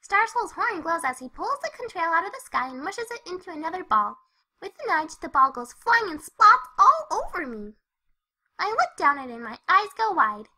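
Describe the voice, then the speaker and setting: Casting: an exuberant cartoon pony girl storyteller, extremely high and bright, animated delivery. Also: normal speed, full character voice, poor audio. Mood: lively narrating. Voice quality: squeaky, girlish. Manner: exuberant, gushing. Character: bubbly excitable young female pony narrating. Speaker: fan fiction reader. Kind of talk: animated first-person story narration.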